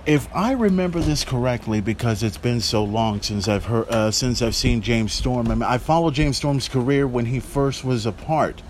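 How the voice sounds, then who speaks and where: A man's voice: medium pitch, moderate pace, few pauses, a little mellow, a little hiss or hum. A man in his forties hosting a podcast, talking in a casual, rambling, emphatic way. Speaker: wrestling podcast host.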